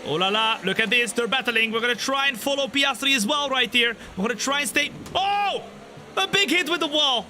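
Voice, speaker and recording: Excitable young man calling a sim race. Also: a little fast, slight background noise. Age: 20s. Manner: excitable, jumps high when the race action happens, laid-back when chatting. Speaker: sim racing streamer. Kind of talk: live game commentary and chat reading. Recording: game music behind the voice. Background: race car engines and game music.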